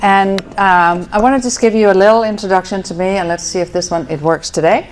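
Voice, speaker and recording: A woman's voice: mid-range voice, fairly quick, a little hiss or hum, rough recording. A middle-aged woman from Denmark with a Danish accent, giving a chatty talk to a live audience at an event venue, with fillers and self-corrections. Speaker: speaker. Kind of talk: casual presentation to a live audience.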